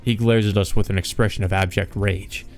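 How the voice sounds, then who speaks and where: A man's voice: fairly low pitch, fairly even intonation, brisk, soft background music. A man reading a visual novel aloud as a dramatic narrator for a let's play.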